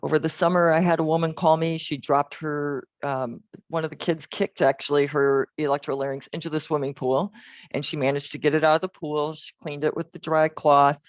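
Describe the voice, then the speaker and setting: A woman's voice: deep voice, little pitch movement, a little fast, no echo. A woman giving an informal webinar presentation as a medical device company representative.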